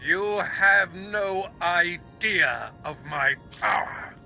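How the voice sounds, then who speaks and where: An actor playing an arrogant, wrathful dark lord in a video game, declaiming in a pompous, theatrical, menacing way with a gravelly, forceful voice. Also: male voice, high-pitched, drawn-out words, exaggerated voice. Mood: menacing, taunting. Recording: music under the voice.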